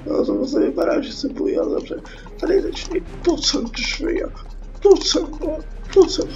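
A woman's voice: high voice, huge pitch swings, gravelly, dark tone. A Czech woman with a cold giving casual, sweary let's play commentary, muttering to herself as she plays. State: sick with a cold. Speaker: gaming YouTuber. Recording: dull lo-fi home recording. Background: game music.

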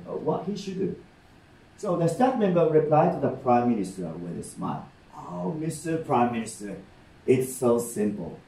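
A middle-aged man with a Japanese accent telling comic stories live to an audience, animated and checking in often. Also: fairly high pitch. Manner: animated, warm explaining with gestures and frequent "okay?" checks. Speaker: rakugo storyteller. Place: meeting room, indoors. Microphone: far camera in the audience. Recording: roomy sound from a distant camera.

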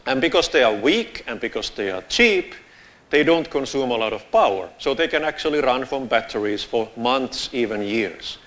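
A man's voice: medium pitch, normal speed. A man from Finland in his thirties with a Finnish accent, giving a casual, joking conference talk on a lapel mic in a large lecture theatre. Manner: casual, joking, lively gestures while walking the stage. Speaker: conference speaker.

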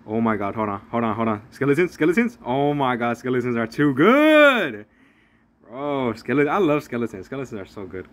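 A man's voice: medium pitch, very animated, normal speed, fairly bright tone. A young man rambling through casual, profane play-by-play of a mobile strategy game as a gaming channel host. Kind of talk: casual gameplay commentary.

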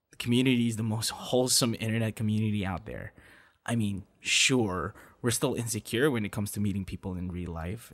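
A young man playing a playful, affectionate boyfriend in an ASMR audio roleplay, with a teasing, encouraging, slightly halting delivery. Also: fairly low pitch. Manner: teasing, encouraging, halting with soft laughs and gasps. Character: playful, affectionate young male voice actor on a date.

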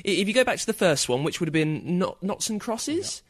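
A man's voice: sits high, very animated, fairly quick, no echo, studio quality. A man asking conversational questions as a podcast interviewer.

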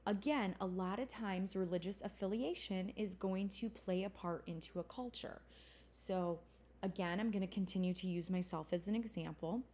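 Woman lecturing in a mellow voice. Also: medium pitch, normal speed, slightly rough. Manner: conversational, explanatory, with fillers and self-corrections. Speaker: college instructor. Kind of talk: recorded lecture.

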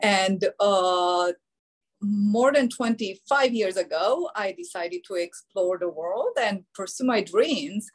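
A woman's voice: medium pitch, lively intonation, slow. A middle-aged woman from Romania with a Romanian accent, presenting on an online panel over a video call, with frequent hesitations. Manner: frequent hesitations with many uh fillers.

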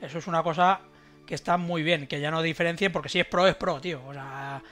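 A man's voice: fairly high pitch, steady tone, brisk, a bit bright, soft background music. A middle-aged man with an accent from Spain, giving blunt, colloquial tech commentary as a livestream host. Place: indoors at home, video call.

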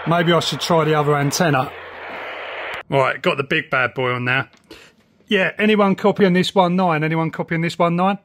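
Middle-aged man, southern English, slightly high-pitched voice, informal and chatty hobbyist vlogger. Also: fairly even intonation, normal speed, a bit bright. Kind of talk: casual vlog chat and radio calls. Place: house and back garden.

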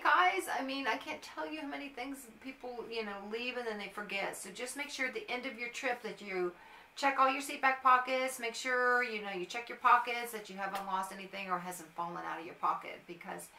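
A woman's voice: on the high side, pushed and bright, a little hiss or hum. A middle-aged American woman giving casual advice to camera in an informal, emphatic way, repeating words for stress. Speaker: airport agent and vlogger. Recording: roomy, echoey, distant mic.

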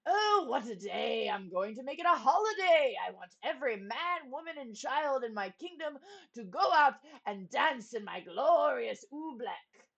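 Brief: booming, bossy storybook king, greedy and gleeful, voiced by a woman. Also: very animated, a little slow, vocal fry, muffled recording, exaggerated voice. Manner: loud, gleeful, barking commands.

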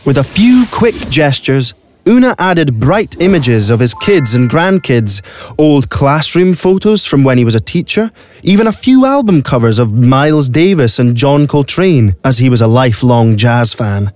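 A man's voice: medium pitch, big pitch swings, moderate pace, a little mellow, dry recording, soft background music. A man narrating a scripted audio play as a dramatic storyteller. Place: dry recording studio.

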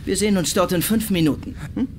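A man's voice: high voice, fast, background noise, natural voice. An actor playing a taunting, self-assured, menacing male lawyer in a German radio crime drama. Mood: smug, menacing. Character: menacing, smug male lawyer blackmailing a passenger.

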